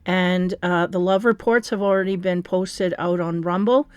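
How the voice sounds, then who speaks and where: Hoarse, raspy woman reading tarot. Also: lowish voice, steady tone, normal speed, darkish tone, no echo. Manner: rambling, with frequent fillers and restarts. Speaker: tarot card reader. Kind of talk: casual spiritual card reading.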